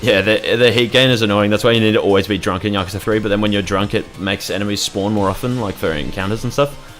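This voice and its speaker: A man's voice: on the low side, quick pace, fairly bright tone, soft background music. A young man casually commentating his gameplay, rambling and swearing freely as he reacts to the game. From Australia. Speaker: gaming YouTuber.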